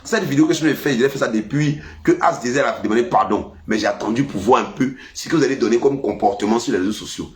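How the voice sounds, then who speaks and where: A man with an Ivorian French accent and a head cold delivers a casual monologue. His voice swings up and down as he scolds, stuffy yet animated, at a middling pitch. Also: quick pace, hardly any pauses. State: has a cold. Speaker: vlogger.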